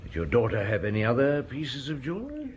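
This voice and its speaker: An actor playing a calm, probing police inspector in a radio drama, often murmuring as he listens. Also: mid-range voice, fast, a little hiss or hum. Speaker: detective.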